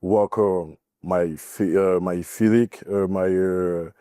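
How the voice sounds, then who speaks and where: A young man from Cameroon, a college basketball player giving a podcast interview, speaking haltingly with many fillers. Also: low voice, fairly even intonation, mellow, no room sound, clean studio sound. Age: young adult. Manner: halting, filler-heavy, nervous.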